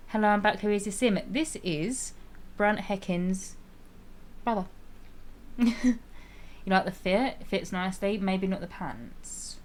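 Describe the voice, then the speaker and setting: A woman streaming a game, chatting casually with viewers and laughing often, her voice slightly raspy. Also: mid-range voice, takes it slowly, pauses often. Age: adult with a school-age child. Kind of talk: casual live-stream chat while playing.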